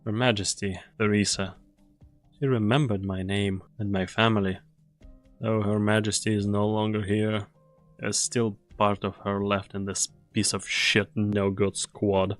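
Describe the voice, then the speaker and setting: A man playing a weary, fatalistic mercenary in an anime game fan dub, speaking gravely and deliberately. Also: lowish voice, little pitch movement, faint music behind, natural voice. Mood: grave, resigned.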